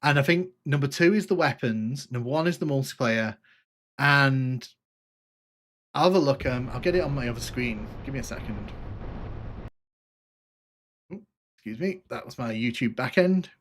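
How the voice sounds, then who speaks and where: A man with a northern English accent and a slight Liverpool twang, chatting casually and a little haltingly to viewers as he hosts a gaming livestream. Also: medium pitch, animated, fairly bright tone, slight background noise. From north of England, UK. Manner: chatty, informal, halting with repeated phrases. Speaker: livestream host, gaming streamer. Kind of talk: casual live stream chat with viewers over gameplay.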